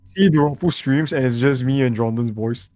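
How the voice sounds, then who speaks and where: A man's voice: medium pitch, moderate pace, mellow, no echo. A man bantering casually on a podcast in a playful, joking way. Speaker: podcast co-founder and guest.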